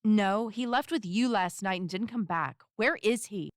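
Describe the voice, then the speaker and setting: A woman's voice: mid-range voice, unhurried, no echo, clean studio sound, natural voice. An actress playing a fed-up, brusque and sarcastic female sidekick in an audio drama. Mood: irritated, sarcastic. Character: blunt, sarcastic, fed-up cyborg-armed sidekick woman. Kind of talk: scripted audio drama acting.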